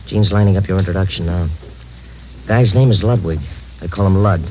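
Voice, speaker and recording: Muffled old radio drama with faint noise. A wary undercover policeman acted by a man speaks low and casually, in short laconic lines. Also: a little fast, natural voice. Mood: low-key. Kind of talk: casual-toned 1950s radio drama acting.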